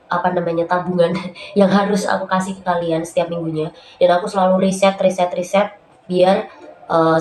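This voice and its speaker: A woman with a cold and a stuffy, nasal voice talks her viewers through writing tips in a loose, chatty way, full of fillers. Her pitch sits a little low. The room echoes, and faint noise runs underneath. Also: a little mellow. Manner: chatty, many fillers, addresses viewers as guys. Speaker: YouTube host giving writing tips. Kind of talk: casual tutorial vlog. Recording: roomy echo, faint background noise.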